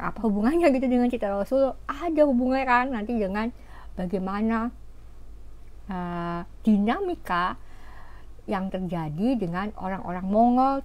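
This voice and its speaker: A woman tells history like a storyteller in an informal lecture. Her voice sits at a medium pitch for a woman. She explains conversationally, breaking off for rhetorical asides. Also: a little mellow.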